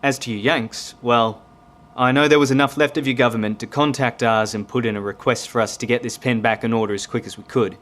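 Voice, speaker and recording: Man acting Australian naval officer, audio drama, mid-pitched male voice, courteous, measured, grave, plain-spoken. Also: steady tone, fairly bright tone, lightly acted. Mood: matter-of-fact.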